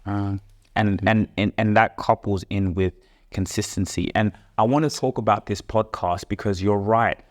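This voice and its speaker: A man's voice: lowish voice, steady tone, moderate pace. A young man co-hosting a podcast, talking with enthusiasm and fluency while stacking his thoughts with fillers like "like" and "you know.". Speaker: podcast co-host, son. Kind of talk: casual motivational chat.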